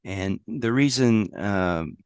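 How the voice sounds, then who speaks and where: Guest spot: American man, very low voice, hoarse, dry close sound. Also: a little mellow, dry recording. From United States. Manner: halting, many restarts and fillers. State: hoarse from a lost voice. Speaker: guest, safety professional. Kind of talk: casual interview conversation.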